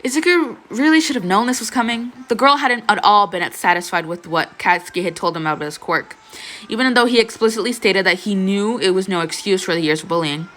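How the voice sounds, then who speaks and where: A woman's voice: mid-range voice, fairly quick, a bit bright. A young adult woman reading fan fiction as an amateur narrator. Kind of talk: expressive scripted story narration, casual asides.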